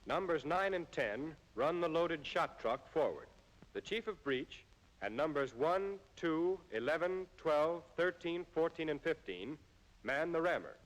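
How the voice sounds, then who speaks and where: An American man reading scripted instructional narration in a formal, measured way, as in an old military training film. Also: fairly high pitch, animated, low-quality recording.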